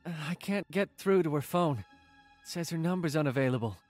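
A man playing a worried boyfriend in an audio drama, speaking in a hesitant, halting way. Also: fairly high pitch, animated, a little mellow, faint music behind, lightly acted. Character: worried, hesitant boyfriend searching for missing girlfriend.

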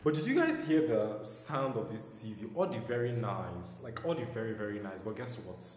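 A young man with a Nigerian accent chatting loosely in a casual home vlog, excitable and trailing off with ums. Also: on the low side, moderate pace, a little hiss or hum. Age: young adult. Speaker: vlogger. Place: small bare room at home, indoors. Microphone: lapel mic. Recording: echoey room with music underneath.

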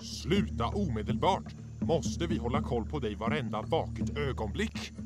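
A man's voice: mid-range voice, lively intonation, over loud music, close to an everyday voice. A man voicing a stern lion king and strict father in a cartoon fan dub, authoritative and scolding. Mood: alarmed, scolding.

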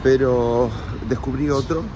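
A man's voice: medium pitch, fairly even intonation, unhurried. A man in his forties with an Argentine accent, talking casually and confidingly to his viewers while walking the city streets, filmed on a phone held at arm's length. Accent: Argentine Spanish. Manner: chatty, confiding, talks straight to the viewers. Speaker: vlogger. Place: outdoors on city streets and in a park, then at a café. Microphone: phone camera held at arm's length. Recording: phone audio with clear street noise. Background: street and traffic noise.

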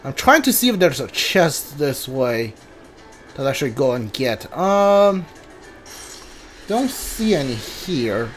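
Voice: medium pitch, very animated, slow pace. A video game streamer giving casual playthrough commentary, thinking aloud and muttering reactions while playing. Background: game music.

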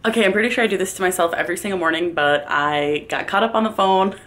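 A young woman talking casually to the camera in a day-in-the-life vlog, chatty and quick, often laughing and drifting into little rants, filmed at arm's length at home and in her car. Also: lowish voice, a bit bright. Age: young adult. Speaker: vlogger and business owner. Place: kitchen and bathroom at home, then inside a car. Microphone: handheld camera at arm's length.

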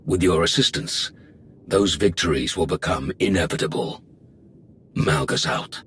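A deep-voiced, commanding dark lord. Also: male voice, a little slow, slight background noise. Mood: grave resolve. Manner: grave, authoritative, formal. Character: commanding dark Sith lord, stern and assured. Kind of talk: video game voice acting.

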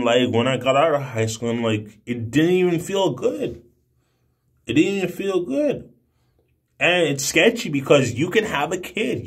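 A man's voice: fairly high pitch, slightly rough. A man in his twenties talking casually to camera in a brash, emphatic, slangy way with heavy swearing. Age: 20s. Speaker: video blogger. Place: small room indoors. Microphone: phone at arm's length.

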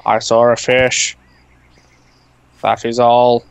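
A young man with an Australian accent, casually chatting and laughing as he plays a survival game with a friend. He talks in a relaxed way at an ordinary pitch and trades jokes with his partner. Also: slow, vocal fry, smooth. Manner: joking, laughs often. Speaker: gamer. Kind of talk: casual co-op gaming chat.

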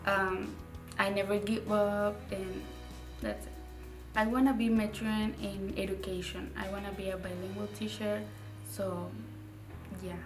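A young woman from Mexico, a native Spanish speaker with a Mexican Spanish accent, answering interview questions in a halting way, repeating words and using many fillers. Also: mid-range voice, flat delivery, reverberant, recorded from a distance, soft background music. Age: young adult. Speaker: graduating student. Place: indoor studio with dark curtain.

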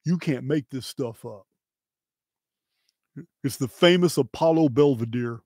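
A middle-aged man with an American accent presenting solo, emphatically and deliberately, in short phrases with pauses, close to the microphone. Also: medium pitch, a little mellow, breathy edge, no room sound. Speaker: video host and presenter. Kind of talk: solo presentation to camera, dramatic commentary. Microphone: close microphone on boom arm.

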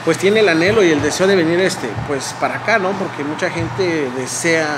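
A man's voice: a little high, moderate pace, noisy background. A man in his thirties with a Mexican accent, hosting a casual vlog chat in a café, joking and swearing often. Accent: Mexican Spanish. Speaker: vlogger host. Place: indoor café at night.

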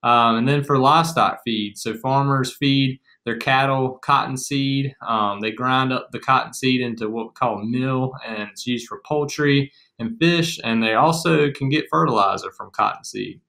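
A man's voice: medium pitch, moderate pace, hardly any pauses, sharp tone. A young man with an American accent, explaining things casually as an educational co-presenter. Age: young adult. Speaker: agriculture extension agent, co-presenter. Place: small room at home, indoors.

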